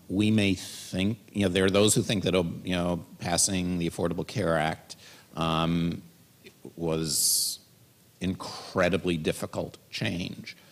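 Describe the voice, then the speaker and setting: A man in his forties speaks from a conference panel table into a stand mic, thinking his answer through. His voice sits low with a gravelly, somewhat husky edge. The auditorium setting gives a formal event feel. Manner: measured, thoughtful, gestures while talking.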